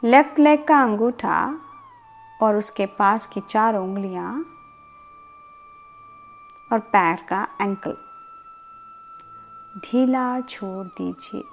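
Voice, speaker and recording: A woman guiding a sleep meditation as a yoga instructor, in a calm, soothing and deliberate manner with pauses and repeated words. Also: mid-range voice, faint music behind.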